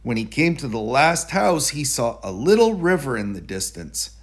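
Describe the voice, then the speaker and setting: A man reading a children's story aloud in an expressive, deliberate storytelling delivery. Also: medium pitch. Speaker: storybook reader.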